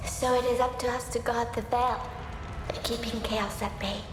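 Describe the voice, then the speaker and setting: An actress playing a gentle, compassionate young sister in a video game, earnest and soft-spoken, with a ghostly reverb on her voice. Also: fairly high pitch, steady tone, normal speed, background noise. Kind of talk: video game cutscene acting. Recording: heavy echo, music behind.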